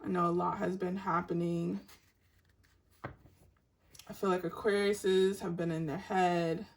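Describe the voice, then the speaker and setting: A woman giving a tarot reading to her online audience in a soft, meandering, spiritual way, with a raspy voice. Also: fairly low pitch, little pitch movement. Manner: soft-spoken and meandering, unhurried spiritual talk. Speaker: tarot reader.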